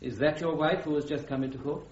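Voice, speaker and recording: An actor playing a courteous, fussy, authoritative British officer presiding over a military court in a radio drama. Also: male voice, fairly high pitch, steady tone, darkish tone, a little hiss or hum, close to an everyday voice. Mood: measured, inquiring. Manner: measured, polite, commanding, hesitant ums. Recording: roomy, dull radio drama recording.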